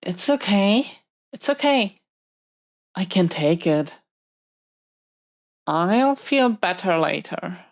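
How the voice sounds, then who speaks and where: A woman's voice: mid-range voice, expressive, slow pace, long pauses. A woman playing a protective, hot-tempered but tender supervillain in prison, in an intimate audio roleplay, speaking defensively and hesitantly to an unseen listener. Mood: soft, relaxed.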